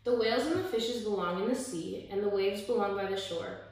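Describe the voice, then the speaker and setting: A young woman reading a children's picture book aloud in measured, rhythmic verse, with the odd small stumble. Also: mid-range voice. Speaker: story time reader. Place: small indoor room, seated by a window. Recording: echoey room, distant mic, faint noise.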